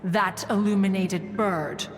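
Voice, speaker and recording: A woman's voice: mid-range voice, fairly even intonation, slow, natural voice. A woman playing a proud, stately immortal adeptus in a video game, speaking in formal archaic phrasing and calling herself "one". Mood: fond reminiscence. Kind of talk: scripted game dialogue, storytelling. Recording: reverberant hall sound, music behind.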